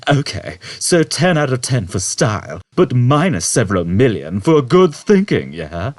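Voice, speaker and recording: Man doing a brash, irritable space rogue, pitched up. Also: very animated, measured pace, dry recording. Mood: complaining. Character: brash, irritable, conceited male space fugitive.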